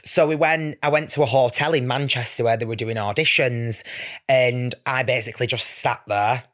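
Man, 20s, podcast guest. Giggly, self-mocking storyteller with a casual, mid-pitched voice. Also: fairly even intonation, moderate pace, a bit bright. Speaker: podcast guest, reality TV personality. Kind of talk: casual chat, telling anecdotes.

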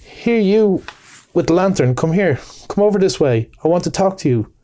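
A man's voice: fairly high pitch, animated, moderate pace, mellow, pitched above the performer's own voice, close to an everyday voice. A man voicing a masterful male water rat in a storybook, hearty, bossy and hospitable. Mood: commanding. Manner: hearty, commanding.

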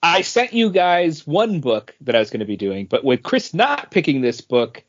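High-pitched, animated man, casual podcast chat. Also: moderate pace. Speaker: podcast co-host. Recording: dull, lo-fi podcast audio.

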